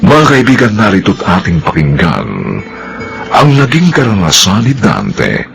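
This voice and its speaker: A man with a Filipino accent giving solemn, measured, scripted narration as the narrator of a radio drama. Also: lowish voice, wildly swooping pitch, vocal fry. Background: loud background music.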